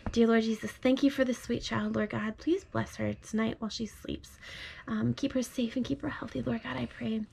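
A woman speaking gently, as a parent does to a small child at bedtime. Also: a little high, fairly even intonation, moderate pace, gravelly, slightly rough. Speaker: parent reading aloud. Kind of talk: bedtime storybook read-aloud. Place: bedroom at home.

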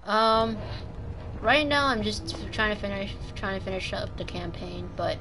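A woman's voice: mid-range voice, animated, measured pace, music in the background, low-quality recording. A woman from Taiwan doing casual live gameplay commentary, chatting with viewers and swearing mildly. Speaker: game streamer. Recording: game noise behind voice. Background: video game sounds.

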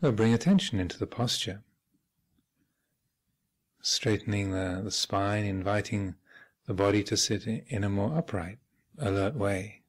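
A man speaking as a meditation teacher, giving measured guided instruction with thoughtful pauses and gentle phrasing. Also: fairly low pitch. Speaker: Buddhist monk and meditation teacher leading a retreat. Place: meditation hall at a retreat center.

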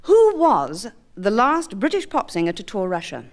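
A woman hosting a quiz in a scripted radio comedy, brisk and breezy, on a noisy vinyl radio recording. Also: medium pitch, huge pitch swings. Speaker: quiz show host.

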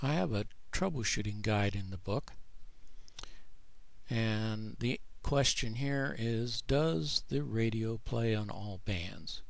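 A man narrating a screen tutorial as the author, explaining plainly in a measured way. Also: fairly low pitch, creaky, dry recording. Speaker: author presenting his own book.

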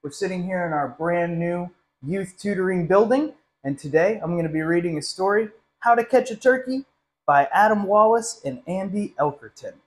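A young man with a fairly high-pitched voice reads a picture book aloud. He addresses young listeners in a friendly, animated storytime style. He sits in a small classroom, a little way from the camera. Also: normal speed. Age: young adult. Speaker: literacy council director reading a story.

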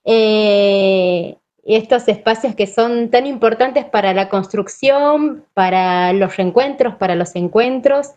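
A woman's voice: mid-range voice, a little mellow. A woman in her thirties with an Argentine accent from Santiago del Estero, a teacher talking informally on a video call. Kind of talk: informal online presentation. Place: wood-panelled room at home. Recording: dull video-call audio.

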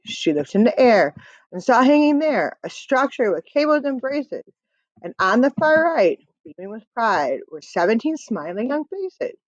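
A woman reads a picture book to children with rhythmic emphasis. She goes slowly, with very lively rises and falls in pitch. The audio is slightly dull, as from a computer microphone. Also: no echo. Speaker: read-aloud storyteller.

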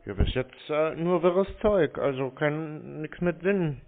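Deadpan man on a phone call. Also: a little high, takes it slowly. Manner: deadpan, obtuse, needling. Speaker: prank call recipient baiting scammers. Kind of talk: phone conversation. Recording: narrow phone-call sound.

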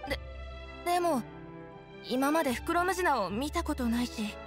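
A woman's voice: sits high, animated, moderate pace, music in the background. A woman voicing an earnest, trusting little village boy in an anime-style video game. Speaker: video game character voice actor.

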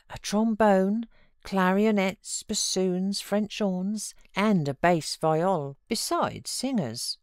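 A British woman reads classic fiction aloud as an audiobook narrator. Her voice sits low for a woman, and the recording is close and dry. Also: darkish tone, smooth, no echo. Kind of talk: scripted narration of a classic novel.

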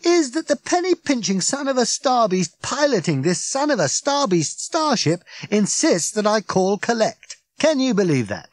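A man doing an excitable ranting alien man. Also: high voice, moderate pace, hardly any pauses, dry recording, pitched above the performer's own voice. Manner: loud, indignant ranting.

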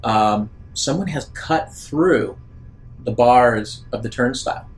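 A man working as a game master, improvising narration for a tabletop game in a halting, deliberate way. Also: low-quality recording. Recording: rumbly background noise.

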